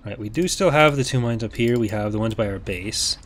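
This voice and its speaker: A man's voice: on the low side, a little fast, a little hiss or hum. A man with a Canadian English accent commentating his strategy game match casually, thinking aloud and weighing his options. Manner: thinking aloud, analytical, hedging with fillers. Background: game sound effects and unit voices.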